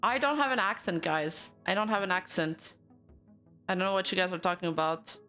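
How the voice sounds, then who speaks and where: A woman's voice: fairly low pitch, a little fast, pushed and bright, faint music behind. Chatty young Canadian woman streamer. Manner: chatty, laughs often, answers chat with playful denial. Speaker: VTuber commentary streamer. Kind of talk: casual live-stream chat reacting to news.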